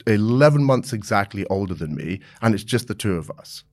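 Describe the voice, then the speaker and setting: A man's voice: on the low side, lively intonation, brisk, a little mellow, faint music behind. A man around sixty, born in South Africa, talking openly as an interview guest, laughing easily and restarting his sentences. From born in South Africa, raised in the United Kingdom. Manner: friendly and open, laughs easily, with frequent fillers and restarts. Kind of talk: candid conversational interview.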